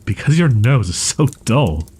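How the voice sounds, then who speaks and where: A man playing a proud, wounded young wolf-boy in an audio roleplay, stammering in archaic, formal phrasing with a husky, breathy voice. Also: medium pitch, sing-song, a little slow, darkish tone, exaggerated voice. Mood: eager, flustered. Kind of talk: roleplay audio drama, ASMR.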